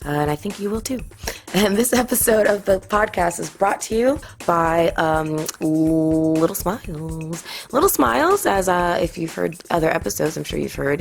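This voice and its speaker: Woman host, slightly low voice, bubbly and giggly, casual podcast chat with lots of ums. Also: creaky. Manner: bubbly, laughs often, many fillers.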